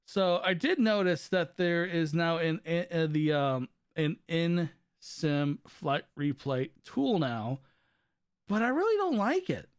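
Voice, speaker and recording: Guy streaming a game, voice going hoarse, kinda high, dry close sound, rambles and restarts a lot. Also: animated, slow, no echo. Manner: rambling, self-correcting chatter. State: losing his voice. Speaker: live streamer. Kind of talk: casual live gameplay commentary.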